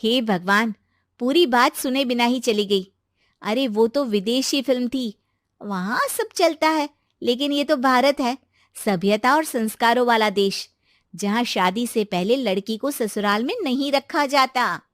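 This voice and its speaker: A woman's voice: a little high, unhurried, no room sound, studio quality, natural voice. A woman voicing a sensible, concerned young college friend in a story, chatty and explaining things. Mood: dismayed.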